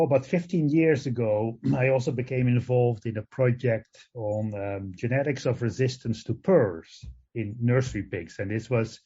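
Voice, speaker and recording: Dutch-accented older man, mellow. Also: mid-range voice, slightly rough. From Netherlands. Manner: hesitant, many fillers and restarts. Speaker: guest, professor of animal genetics. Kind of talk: interview answers. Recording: dull lo-fi sound.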